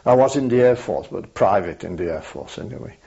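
An older man with a French accent, a native French speaker, recalling his life in an oral history interview, pausing often with fillers and restarts. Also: sits low, animated, gravelly, warm tone. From France. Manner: hesitant, many fillers and restarts. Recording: dull lo-fi archival tape.

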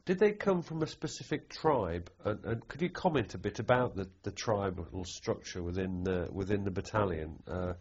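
A man's voice: deep voice, lively intonation, moderate pace, few pauses, a little mellow, husky. A man putting probing questions in an oral history interview, often rephrasing as he goes. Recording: dull, muffled tape sound.

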